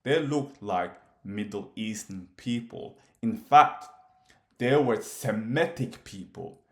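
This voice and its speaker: A man's voice: fairly low pitch, expressive, slow pace, reverberant. A Somali man, whose first language is Somali, speaking straight to the camera in a challenging, questioning way, pressing his points with emphasis. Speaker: commentator. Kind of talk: direct-address monologue.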